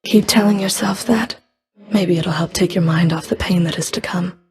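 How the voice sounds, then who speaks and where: An actress voicing a stern, scornful female underworld enforcer in a video game, with a cold, deliberate, menacing manner. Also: fairly low pitch, darkish tone, lightly acted. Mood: cold contempt. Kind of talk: video game character voice lines.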